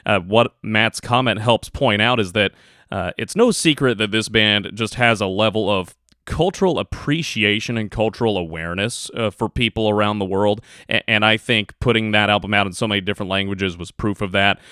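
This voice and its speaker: Male podcast co-host, low and bright, dry close sound, upbeat casual chat. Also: normal speed, clear, resonant tone, no room sound. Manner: upbeat, reads listener comments and show plugs.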